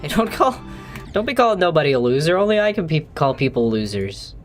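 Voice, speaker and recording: A man's voice: on the high side, very animated, brisk, faint music behind. A young man chatting casually on a live stream while playing, rambling, joking and swearing freely.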